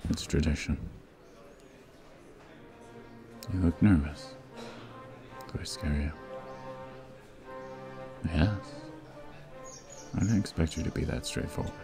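A man playing a cold-hearted, commanding young mafia heir in a scripted ASMR roleplay, speaking sternly in a gravelly voice with clipped menace. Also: unhurried, long pauses, music in the background. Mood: calm, probing. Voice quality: gravelly, very deep.

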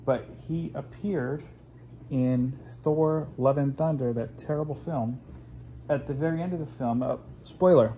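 A man's voice: mid-range voice, unhurried, mellow, slight background noise. A man in his forties, hosting a casual home video, talking in a rambling, chatty way with plenty of fillers. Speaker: host. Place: living room at home, indoors.

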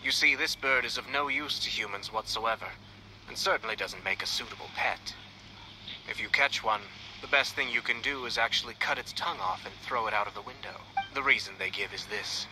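An actor playing a composed young male noble commissioner, speaking in a smooth, measured, politely mocking way in a video game. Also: on the low side, sharp tone, slight background noise, rough recording. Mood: calm, needling. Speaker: clan commissioner confronting an official.

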